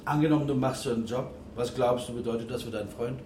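An actor playing a sceptical, concerned bar owner, speaking tersely and probingly in naturalistic radio drama. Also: male voice, mid-range voice, fast, lightly acted. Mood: concerned, firm. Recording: echoey, distant, noisy location sound.

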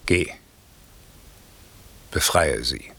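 An actor playing a stern, wronged sorcerer lord turning to forgiveness, reciting classical radio drama verse in a solemn, measured, declamatory way. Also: male voice, extremely low pitch, gravelly, pushed and bright, husky, heavily acted. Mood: grave, moved.

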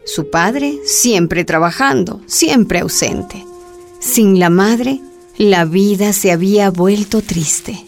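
Slow-paced woman narrator. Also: mid-range voice. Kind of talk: scripted radio drama narration. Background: faint music.

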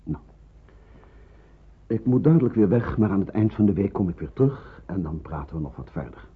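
An actor playing a kindly, grave Protestant pastor in a radio play, speaking in a measured, solemn, reassuring way. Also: male voice, low voice, lively intonation, husky, exaggerated voice. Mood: reassuring, fatherly. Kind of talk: Dutch radio drama acting. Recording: muffled, phone-like old radio recording.

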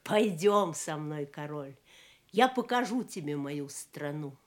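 An actress playing an alluring, regal fairy queen in a Soviet audio play, with a measured, theatrical delivery. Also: low-pitched, animated. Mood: mysterious, guarded.

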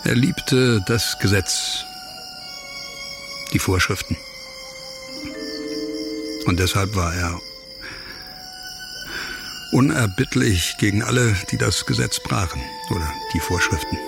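A man acting a grave, bitter, disgraced former doctor in a radio crime drama, speaking reflectively in sparse, halting phrases. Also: lowish voice, expressive, gravelly, over music, heavily acted. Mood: somber, reflective.

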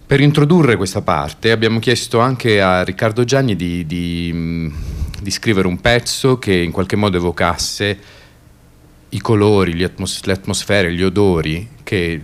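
A man presenting a stage show in unscripted speech, hesitant and searching for his words. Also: low voice. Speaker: theatre maker presenting the show. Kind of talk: spoken presentation, unscripted explanation.

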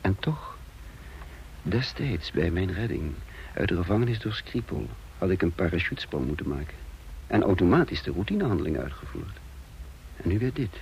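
A man acting in a radio drama as a brisk, authoritative army officer giving clipped orders. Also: low-pitched, sing-song, vocal fry, a little mellow, slight background noise, exaggerated voice, poor audio. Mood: reflective, subdued. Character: brisk commanding male military officer leading a raid.

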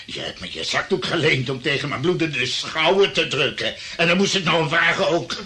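A man's voice: fairly high pitch, lively intonation, normal speed, pushed and bright. Scornful middle-aged male police detective, radio play, very tense edgy voice, emphatic accusations. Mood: bitter, scornful. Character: bitter, shabby police detective around fifty, betrayed colleague.

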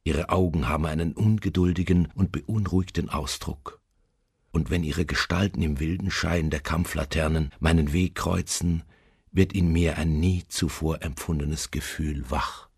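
Haunted seafarer, extremely low pitch, very husky and breathy, brooding slow delivery, solemn radio drama monologue. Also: male voice, fairly even intonation, darkish tone, no echo, clean studio sound, exaggerated voice. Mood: doomed, solemn. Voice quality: very deep, gravelly, husky, at times near whisper. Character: haunted male seafarer recounting his doom aboard a ghost ship.

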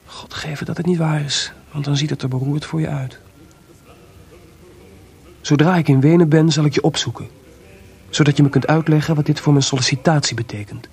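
An actor playing a proud, jealous composer with a sarcastic, theatrical delivery in a radio play. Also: male voice, on the high side, fairly even intonation, brisk, creaky, long pauses, darkish tone, husky, exaggerated voice. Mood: wry, reflective. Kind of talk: radio drama acting.

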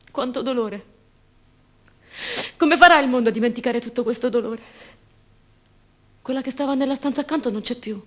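An actress playing an anguished, fierce captive mother robbed of her baby, in an intense, insistent radio monologue full of repetition. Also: high voice. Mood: despairing, grieving.

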